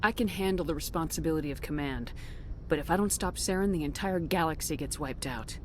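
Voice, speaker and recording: A woman's voice: low voice, a little fast, slight background noise, natural voice. An actress playing a cool, resolute female military commander in a video game, firm and direct. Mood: grave, firm. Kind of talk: video game cutscene acting.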